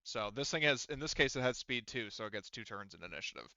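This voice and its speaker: A man acting as game master in a casual tabletop game, haltingly thinking aloud as he explains rules, on a close, slightly dull microphone. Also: medium pitch, animated, pushed and bright, no echo.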